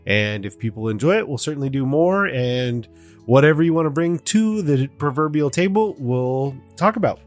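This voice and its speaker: A man's voice: mid-range voice, animated, normal speed, soft background music. A man hosting a podcast in a casual, conversational style. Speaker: podcast host.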